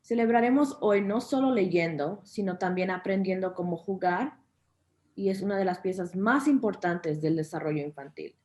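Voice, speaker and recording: A woman's voice: lowish voice, animated, a little fast, darkish tone. A woman working as interpreter for a children's reading program, delivering a scripted translation. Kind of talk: scripted translation of a welcome talk.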